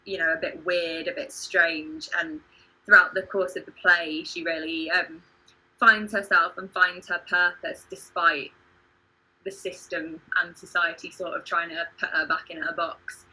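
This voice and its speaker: A woman's voice: fairly low pitch, steady tone, a little slow, pushed and bright, husky, low-quality recording. A woman chatting casually as a host on a livestream, earnest and hesitant, sometimes poking fun at herself. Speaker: playwright and podcast host. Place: home video call.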